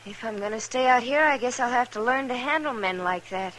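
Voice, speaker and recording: An actress playing a polite, earnest young American newcomer to a frontier town in an old radio western. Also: female voice, fairly high pitch, fairly quick, a bit bright, natural voice, poor audio. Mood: reserved. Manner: proper, demure. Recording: hissy old-time radio recording.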